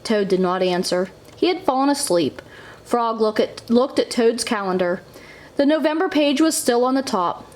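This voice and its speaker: A woman's voice: fairly high pitch, expressive, moderate pace, darkish tone. A woman, a mother, narrating a children's storybook aloud for her child.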